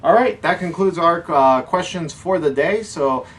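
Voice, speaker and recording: A man's voice: fairly high pitch, expressive, unhurried, a bit bright. A man co-hosting a casual hobby chat, explaining things in an informal way. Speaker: co-host of a hobby show. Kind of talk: casual question-and-answer chat about airsoft. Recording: distant mic with faint background noise.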